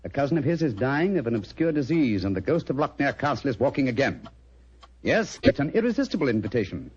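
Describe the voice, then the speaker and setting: Composed English male detective, quick, precise, formal, radio mystery, dull noisy sound. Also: mid-range voice, sing-song, a little mellow, breathy edge. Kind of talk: old-time radio mystery acting. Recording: muffled old radio recording, hiss.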